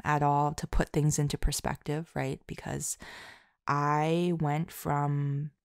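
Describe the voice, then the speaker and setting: A woman speaks slowly in a somewhat low voice with plenty of vocal fry. She chats informally and sincerely to her audience as an audio creator, often halting and poking fun at herself. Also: no echo, studio quality. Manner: halting, self-deprecating, informal. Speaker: audio roleplay and ASMR creator. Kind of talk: casual, heartfelt solo chat to listeners.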